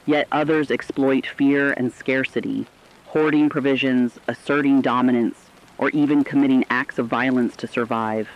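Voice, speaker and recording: A dull, lo-fi recording of a man playing a grave radio reporter. The voice is mid-pitched, and the scripted drama narration is formal, solemn and measured. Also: fairly even intonation, lightly acted. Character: grave radio co-reporter describing survivors of catastrophe.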